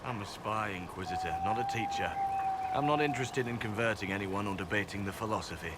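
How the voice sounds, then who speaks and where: A man's voice: lowish voice, wildly swooping pitch, fast talker. A man playing a guarded elf spy and former slave in a video game, speaking dryly and earnestly. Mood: matter-of-fact. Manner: dry, matter-of-fact, quietly earnest. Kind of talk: video game cutscene acting. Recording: game capture with loud ambient background noise.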